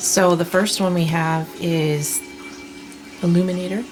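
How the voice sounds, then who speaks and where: A woman in her thirties giving a tarot reading in a soft, reassuring way, clearing her throat as she loses her voice. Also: on the low side, steady tone, noisy background. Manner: soft, slow, reassuring delivery with many restarts. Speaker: tarot reader. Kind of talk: pick-a-card tarot reading.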